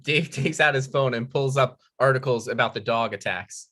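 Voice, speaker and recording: A man's voice: mid-range voice, normal speed, sharp tone. A man reading screenplay stage directions as scripted narration.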